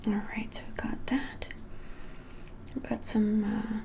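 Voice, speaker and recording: A woman in her twenties whispering softly and gently in an unhurried ASMR shop roleplay. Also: medium pitch, little pitch movement. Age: 20s. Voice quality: soft, breathy whisper. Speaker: ASMR creator playing a witch shop keeper. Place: small indoor room, plain wall.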